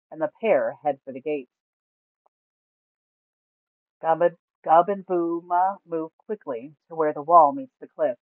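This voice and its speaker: A woman storyteller reading scripted fantasy narration aloud in a measured, dramatic way. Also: deep voice, no echo. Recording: narrow, phone-like sound.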